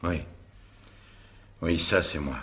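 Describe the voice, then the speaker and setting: An actor playing a defensive, cornered suspect in a radio drama, halting and protesting. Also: male voice, sits low, a bit bright. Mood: cornered, reluctant. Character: defensive, cornered male suspect shielding a woman. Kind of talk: French radio drama acting.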